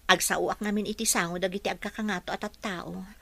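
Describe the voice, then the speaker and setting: A woman's voice: mid-range voice, steady tone, quick pace, vocal fry, dry recording. An actress playing an earnest, determined young woman employee in a radio drama, polite and self-assured.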